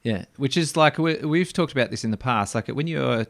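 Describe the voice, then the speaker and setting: A man hosting a podcast interview, talking warmly with frequent ums and restarts. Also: medium pitch, moderate pace, gravelly, no room sound. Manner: warm, hesitant with many ums and restarts. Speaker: podcast host.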